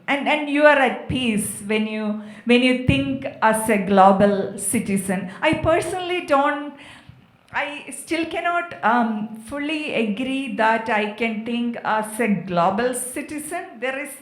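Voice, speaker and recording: Slow-talking woman, echoey venue. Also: fairly high pitch, expressive. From Kerala, India. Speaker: panel speaker. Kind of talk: reflective panel talk. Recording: echoey, amplified festival venue.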